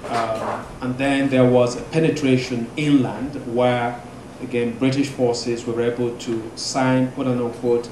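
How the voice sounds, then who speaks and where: Nigerian man, Igbo native, measured lecturer full of ums, recorded in a roomy hall over steady background noise. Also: mid-range voice. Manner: measured, halting, many fillers. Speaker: guest speaker. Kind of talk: public lecture. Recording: echoey room with noise.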